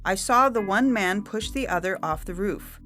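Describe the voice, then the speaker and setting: A woman acts an elderly, well-to-do eyewitness in an audio story. She speaks at a medium pitch and insists on her account. Faint music sits behind her. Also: expressive, normal speed, fairly bright tone, natural voice. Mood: insistent. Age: septuagenarian figure.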